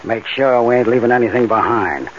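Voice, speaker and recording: An actor playing a tough, confident crook who masterminds heists, in 1940s radio style, with slangy gangster talk. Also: male voice, mid-range voice, brisk, natural voice. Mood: cocky, businesslike. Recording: muffled, noisy old-time radio recording.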